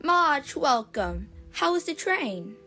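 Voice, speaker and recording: A woman plays a stiff, cross middle-aged aunt in an audio play. Her voice is shrill and high, swooping widely at an unhurried pace, over music. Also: sing-song, sharp tone, full character voice. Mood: politely strained. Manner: shrill, clipped. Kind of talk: audio drama acting.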